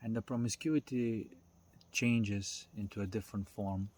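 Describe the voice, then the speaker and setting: A man giving a casual, unscripted philosophical talk in a halting, reflective way, thinking aloud and pausing often. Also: fairly low pitch, steady tone, darkish tone. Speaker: spiritual self-development speaker.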